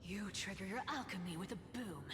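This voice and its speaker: A woman's voice: mid-range voice, fairly quick, noisy background, lightly acted. An actress playing a sly, teasing female trickster demigod in a game. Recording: game audio with reverb and music.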